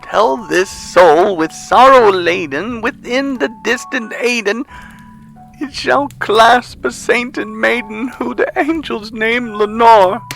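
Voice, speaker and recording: A man's voice: extremely high pitch, slow, creaky, fairly bright tone, voice pushed up, heavily acted, low-quality recording. A man voicing a tormented, grieving man in a gothic poem, crying out with desperate, melodramatic anguish. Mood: desperate pleading. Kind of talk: dramatic gothic poetry performance. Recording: music under the voice.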